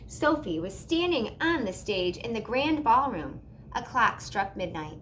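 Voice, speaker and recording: High-voiced woman reading a children's story. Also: sing-song, measured pace, a bit bright, slight background noise. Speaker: storybook reader. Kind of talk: expressive children's story narration.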